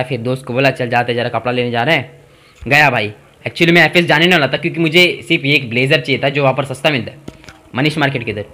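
A man's voice: mid-range voice, sharp tone. A young man doing stand-up, telling stories about his friends and acting out their lines with big gestures, recorded indoors on a fixed camera mic. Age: young adult. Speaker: stand-up comedian. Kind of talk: stand-up storytelling. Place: indoor room in front of a brick-wall backdrop.

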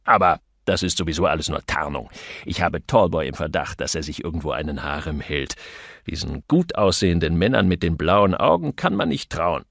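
A man's voice: low-pitched, animated, brisk, creaky, fairly bright tone, no echo, clean studio sound, pitched below the performer's own voice, heavily acted. A man voicing a breezy male office joker in an audiobook, with mocking banter. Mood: mocking, suspicious.

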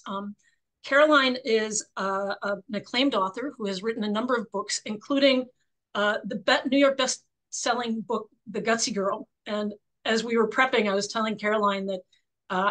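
A woman in her sixties with a croaky voice, hosting a book club interview over a video call from her home office, chatty and full of fillers. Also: mid-range voice, low-quality recording. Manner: warm, chatty, many fillers and restarts.

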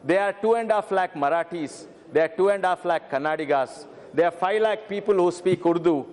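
A man's voice: high-pitched, brisk, darkish tone. Earnest man addressing parliament. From Telangana, India. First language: Telugu. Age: middle-aged. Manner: earnest, repeats "madam" often, brief stammers. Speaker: member of parliament. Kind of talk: parliamentary speech. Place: large parliament chamber. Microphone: desk microphone. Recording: reverberant parliament chamber.

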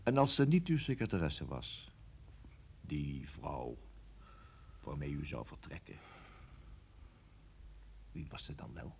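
An actor playing a cold, methodical interrogator in a radio drama, with clipped, husky questions that echo back each answer. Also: male voice, rumbling low, huge pitch swings, takes it slowly, long pauses, a little mellow, slight background noise, heavily acted. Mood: cool, doubting. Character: cold, methodical male security interrogator, unhurried and sceptical.

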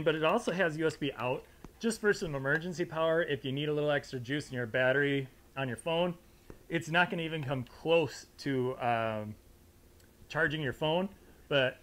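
American man, 30s, slightly high voice, informal gear reviewer talking to camera. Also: fairly bright tone. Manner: conversational, informal. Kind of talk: casual product review to camera. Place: indoor studio with fabric backdrop.

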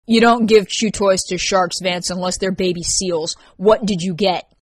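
A narrator plays a young goth woman in an audio story, blunt and unimpressed. Her questions come out dry and pointed, in a lowish female voice with a very dry recording. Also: steady tone, normal speed, breathy edge, dry recording. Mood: probing, blunt.

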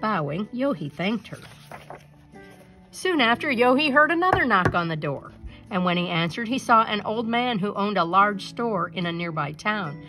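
A woman storyteller reads a children's picture book aloud over music. Her mid-pitched voice is lively and expressive, moving freely up and down as she narrates. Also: normal speed.

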